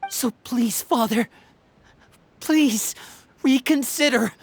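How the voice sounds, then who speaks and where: A young orphan character from a video game, fairly high in pitch. The delivery is earnest and pleading, with faint noise behind it. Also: female voice, slow.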